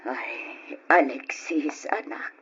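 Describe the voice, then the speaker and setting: A gentle, worried mother in an old radio drama, pleading and soothing. Her voice has a husky, slightly breathy grain. The recording is dull and dated. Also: sits low, lively intonation, slow pace, heavily acted. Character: anxious, gentle middle-aged mother, pleading for her child.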